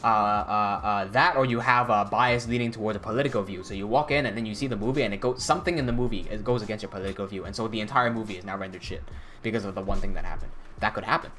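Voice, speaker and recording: A man's voice: fairly low pitch, fast, bright, forceful tone, soft background music. A young man from Hong Kong, chatting casually on a live stream and answering chat questions with restarts and blunt swearing. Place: indoor room at desk.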